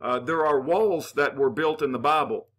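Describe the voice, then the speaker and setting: Earnest, bright-voiced man arguing his view. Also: fairly high pitch. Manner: earnest, halting delivery with frequent "uh" fillers and restarts. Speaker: channel host reading and answering viewer comments. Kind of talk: opinionated commentary with biblical references.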